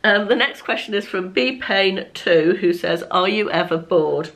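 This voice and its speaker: A slightly croaky woman, chatting to camera as a lifestyle vlogger, chatty and self-deprecating, often laughing as she talks. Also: mid-range voice, unhurried, fairly bright tone. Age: 50s. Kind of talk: casual chat to camera.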